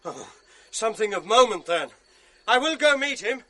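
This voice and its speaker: An actor playing a sly, scheming soldier in a radio drama, smooth and insinuating. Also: male voice, sits high, big pitch swings, normal speed, pushed and bright, full character voice. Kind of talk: 1980s radio drama acting.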